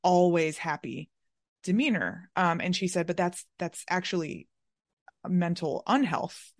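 A woman's voice: fairly low pitch, a little slow, dry recording, studio quality. A woman hosting an interview in a casual, thoughtful conversational style. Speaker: interviewer, podcast host.

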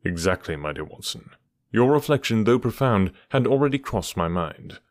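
A man's voice: fairly low pitch, lively intonation, normal speed, dry recording, close to an everyday voice. A man voicing a cool, precise male detective with a dry, ironic manner in an audiobook. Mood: cool, measured. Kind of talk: audiobook character voice.

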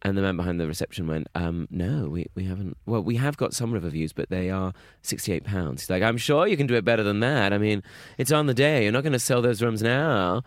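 A man's voice: on the low side, expressive, brisk, hardly any pauses, dry recording, studio quality. A young man co-hosting a podcast, telling stories in casual chat, with camp, chatty put-on quotes. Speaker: podcast co-host.

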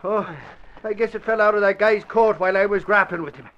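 An excitable Irishman with a high, sharp voice, blustering through folksy exclamations. He is an eager but none too clever sidekick in a 1940s radio adventure. The recording is old and muffled. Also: brisk, slight background noise. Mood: sheepish.

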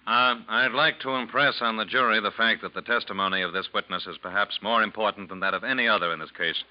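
A man plays a stern, methodical police lieutenant in a 1940s radio drama. His low voice is formal and probing. It comes through a dull old recording. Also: fast, lightly acted. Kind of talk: 1940s radio drama acting, courtroom questioning.

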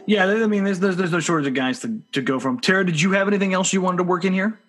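A man's voice: sits high, expressive, fast talker, a bit bright. A man hosting a podcast, chatting casually and putting questions to a guest. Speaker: podcast host. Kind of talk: casual podcast interview chat.

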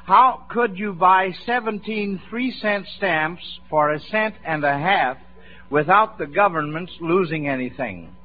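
A man's voice: high-pitched, a little slow. An American man hosting an old-time radio quiz, folksy and encouraging, with approving phrases he tends to repeat. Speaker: quiz show host. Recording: muffled vintage radio broadcast recording.

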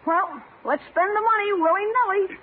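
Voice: very high-pitched, normal speed. A performer playing a cheeky, wisecracking schoolboy nephew with brash, slangy retorts in a 1950s radio sitcom. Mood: indignant. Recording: tinny, noisy old radio recording.

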